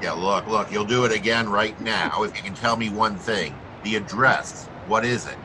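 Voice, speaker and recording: An actor playing a wry, wisecracking private detective in an old-time radio drama, with clipped, slangy delivery. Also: male voice, on the low side, moderate pace, noisy background, natural voice. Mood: impatient. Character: wisecracking, hard-boiled male private detective.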